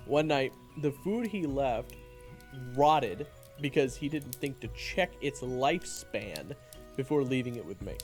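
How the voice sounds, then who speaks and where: Young male adventurer, acted, first-person narrator, creaky mid-range voice, long reverb, candid and wry. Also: medium pitch, a little mellow. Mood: frustrated, candid. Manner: candid, conversational. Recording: reverberant, music behind.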